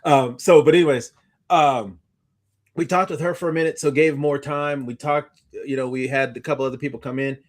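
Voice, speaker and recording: Animated, rambling man chatting casually. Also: medium pitch, normal speed. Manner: animated, rambling, stumbling over repeated starts. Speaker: podcast co-host. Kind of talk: casual chat, recounting anecdotes.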